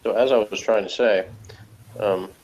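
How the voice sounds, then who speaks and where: A man with an American accent and a fairly deep voice chats casually as a review co-host. His delivery is wry and joking. The recording is somewhat dull and lo-fi. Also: steady tone, normal speed, darkish tone, slight background noise. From United States. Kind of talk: casual jokey review chat.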